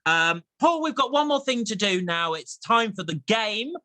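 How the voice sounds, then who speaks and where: English man, chat show host, very bright tone, animated intonation, chatty, encouraging. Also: sits high, normal speed, no echo. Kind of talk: chatty interview and quiz hosting.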